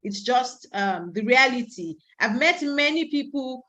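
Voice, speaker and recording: A woman's voice: high voice, lively intonation, a little slow, pushed and bright. A woman in her thirties from Nigeria, giving a conference talk and speaking in a storytelling way, with asides. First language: Yoruba. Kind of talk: presentation talk.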